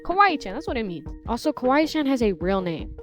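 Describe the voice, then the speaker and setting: A woman's voice: fairly high pitch, very animated, brisk. A woman giving casual, chatty video commentary, informal and opinionated with sarcastic asides. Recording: faint music behind voice.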